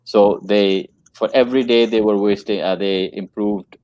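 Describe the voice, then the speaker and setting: A man's voice: fairly low pitch, fairly even intonation, moderate pace, darkish tone, lo-fi sound. Earnest man telling a training story. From Pakistan. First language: English. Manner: repeats phrases, earnest storytelling. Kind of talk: casual conversation.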